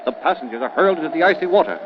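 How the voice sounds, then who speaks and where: A man giving scripted, suspenseful narration in a deliberate, theatrical old-time radio style. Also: a little high, expressive, background noise. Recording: dull old radio recording. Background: music.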